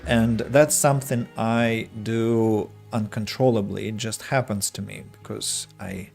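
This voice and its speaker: Slow-talking Russian-accented man streaming a game. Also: lowish voice, fairly even intonation, gravelly, a little mellow, faint music behind. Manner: slow, careful wording with frequent restarts. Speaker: streamer and English teacher. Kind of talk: casual gameplay streaming chat.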